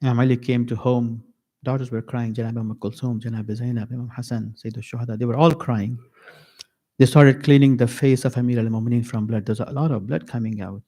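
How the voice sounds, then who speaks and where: Slow, emphatic male preacher. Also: mid-range voice, fairly even intonation, dark tone. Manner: slow, emphatic, repeats key phrases with pauses. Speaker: sheikh giving a mourning sermon. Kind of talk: sermon. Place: Islamic center hall.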